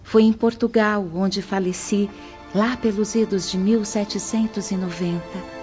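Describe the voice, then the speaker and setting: A woman playing a gentle, gracious young spirit in a dramatized audiobook, speaking as a devoted hostess. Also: medium pitch, fairly even intonation, a little slow, dull audio, over music, natural voice. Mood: gracious, tender.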